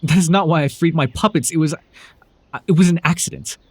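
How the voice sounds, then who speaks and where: A man playing a proud, sardonic telepathic supervillain with hidden tenderness in an ASMR roleplay, theatrical and condescending with a husky voice. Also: on the high side, fairly quick, no room sound. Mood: defensive, evasive. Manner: theatrical, grandiose, flustered, dryly condescending.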